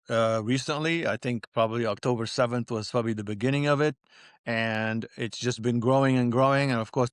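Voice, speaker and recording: A male podcast host from Lebanon talks conversationally with a guest and audience. His delivery is halting, with repeated words, at an ordinary male pitch. The recording is dry, with little room sound. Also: smooth, dry recording. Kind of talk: conversational interview introduction.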